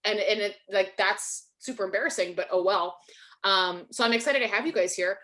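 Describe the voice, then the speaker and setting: A woman's voice: medium pitch, a little fast, a bit bright. A woman, hosting a casual video-call webinar, chatty and self-deprecating, with lots of likes. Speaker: webinar host, Spanish teacher.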